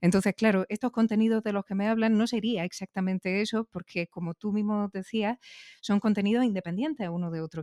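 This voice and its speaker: A woman's voice: mid-range voice, a little mellow, dry recording, lo-fi sound. A woman with an accent from Spain conducting a conversational podcast interview, thinking aloud as she talks. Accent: Spanish from Spain.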